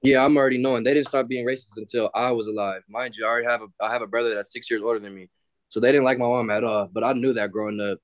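A man's voice: mid-range voice, rapid-fire, no echo. A young man from the San Francisco Bay Area, a student talking candidly in a casual class discussion over a video call. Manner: slangy, candid, animated.

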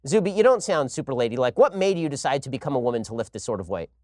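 A man hosting a studio interview, talking quickly with a wry, sarcastic edge. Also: mid-range voice, big pitch swings, darkish tone, no room sound. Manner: rapid-fire, wry, sarcastic. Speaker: host. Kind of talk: fast, joking interview hosting.

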